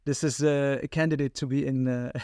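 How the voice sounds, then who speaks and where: A man's voice: mid-range voice, steady tone, moderate pace, darkish tone, no echo, studio quality. A man from Lebanon hosting a podcast, speaking hesitantly with many fillers in a casual interview chat. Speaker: podcast host.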